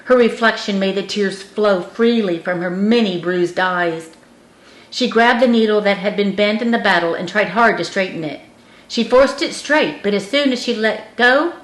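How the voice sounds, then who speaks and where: Woman, audiobook narrator, scripted storytelling, mid-pitched voice, roomy echoing recording.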